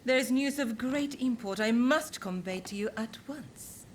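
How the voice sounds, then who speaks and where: A woman's voice: a little high, a little hiss or hum, lightly acted. A woman playing a poised, formal informant bearing grave news in a video game cutscene. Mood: composed, urgent. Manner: formal, composed, deliberate wording.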